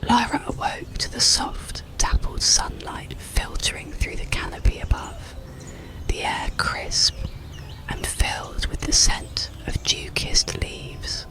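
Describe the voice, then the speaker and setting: A storyteller narrating an ASMR bedtime story in a soothing, deliberate whisper. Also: sits low, vocal fry. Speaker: audiobook storyteller.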